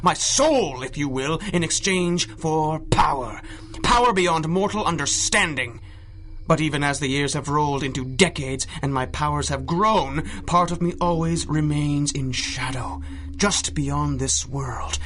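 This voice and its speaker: Radio-play villain: centuries-old sorcerer, highish forceful voice, grandiose, menacing, taunting. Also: male voice, measured pace, slight background noise. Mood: brooding. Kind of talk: 1930s pulp radio drama acting.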